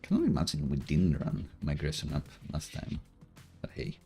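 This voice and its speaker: Young Greek-born man, very low mellow voice, relaxed live-coding chat over faint music. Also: normal speed. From Greece. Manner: relaxed, thinking aloud while coding. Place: home room.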